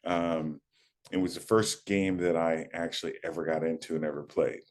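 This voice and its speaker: A man in his fifties with a very low voice tells stories at an easy pace. He often pauses and adds fillers as he recalls the past in an interview. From United States. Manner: relaxed storyteller, halting with fillers. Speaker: guest, former college running back. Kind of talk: casual interview talk.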